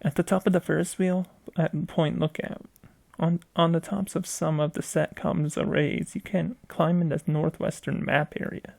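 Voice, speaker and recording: A man narrates a written piece in a fairly high voice with a gravelly, creaky edge. He reads haltingly, tripping over words and doubling back on phrases. The sound is dry and close. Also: little pitch movement, darkish tone, no echo. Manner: reads aloud haltingly, stumbling and repeating phrases. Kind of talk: scripted narration of a video game mystery story.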